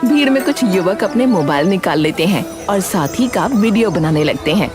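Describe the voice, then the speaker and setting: A woman's voice: mid-range voice, expressive, moderate pace, music in the background. A woman delivering scripted narration for a cartoon story.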